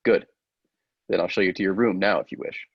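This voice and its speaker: A man's voice: sits low, steady tone, brisk, creaky, breathy edge, no room sound, exaggerated voice. A man playing an inventor's earnest, persuasive assistant in an old-style radio play, with a theatrical, urging manner. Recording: dull lo-fi, dry sound.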